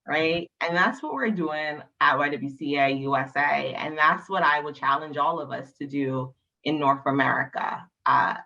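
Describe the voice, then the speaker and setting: A passionate woman from Barbados speaks on a panel over a video call. Her voice sits very low but rings bright and forceful, and she drives her points home with emphatic tag questions. The call audio sounds dull and lo-fi. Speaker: panelist, nonprofit leader.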